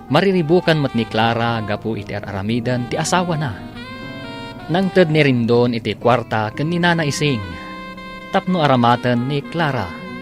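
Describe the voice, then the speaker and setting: Ilocano male radio serial announcer over music. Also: mid-range voice. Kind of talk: scripted narration and credits.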